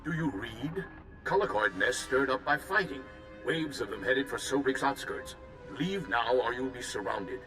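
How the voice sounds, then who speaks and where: A man playing a calm, seasoned older warrior strategist in a video game, speaking in a measured, formal way. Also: mid-range voice, over music, natural voice. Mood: urgent warning. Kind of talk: video game cutscene acting.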